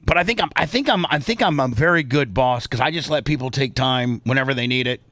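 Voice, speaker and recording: A man hosting a casual radio talk show, speaking in a halting, rambling way on a close microphone. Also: mid-range voice, fairly bright tone, dry recording. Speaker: host and boss of the show. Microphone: close studio microphone.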